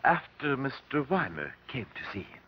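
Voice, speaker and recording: An actor playing a dignified, guarded professor who answers formally and precisely, in an old radio drama. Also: male voice, medium pitch, sing-song, moderate pace, slightly rough. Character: flustered, dignified male professor, long-serving colleague of victim. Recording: dull, lo-fi old radio recording.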